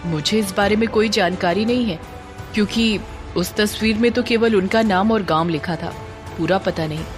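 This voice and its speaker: A woman's voice: medium pitch, normal speed, over music, natural voice. A woman voicing an earnest, concerned village priest, a man, in an audio drama. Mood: uncertain.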